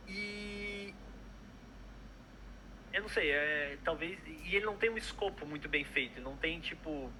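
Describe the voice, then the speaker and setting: A young man, talking casually over a video call. His voice is bright and a bit high, and he trips over fillers and restarts often. Faint noise hums behind him. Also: low-quality recording. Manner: hesitant, many fillers and restarts. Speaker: product manager guest. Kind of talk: casual interview chat.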